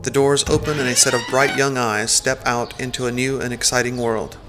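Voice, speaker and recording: A man's voice: mid-range voice, flat delivery, brisk. A man narrating a scripted audio drama in the present tense.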